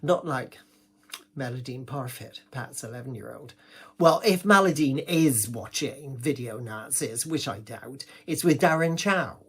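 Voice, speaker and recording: A man's voice: medium pitch, unhurried, a bit bright, breathy edge. A man with an English accent performing a gossipy comic monologue, rambling along in an indignant village-gossip manner.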